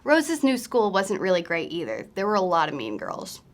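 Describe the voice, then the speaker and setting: A woman's voice: mid-range voice, wildly swooping pitch, normal speed. A woman hosting a book recommendation video, speaking in a scripted presenter style to viewers. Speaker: host of a book recommendation series.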